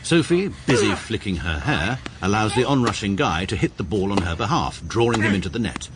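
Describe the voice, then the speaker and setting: Deadpan low-voiced male narrator. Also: brisk. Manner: dry and deadpan. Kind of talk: scripted first-person narration. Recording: noisy radio recording.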